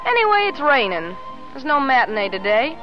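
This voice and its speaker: A bold, flirtatious American showgirl from a 1930s movie. Her slangy teasing swings widely in pitch, over clear background music in dull vintage sound. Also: sing-song, brisk. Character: brassy, flirtatious, self-assured showgirl, Miss LaRue. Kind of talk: 1930s film acting.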